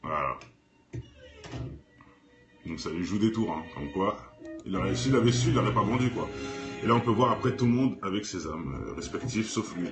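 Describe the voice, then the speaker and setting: A man's voice: on the low side, lively intonation, normal speed, long pauses, over music. A man hosting an informal, off-the-cuff reaction video in an opinionated, argumentative, colloquial way. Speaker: video host, commentator.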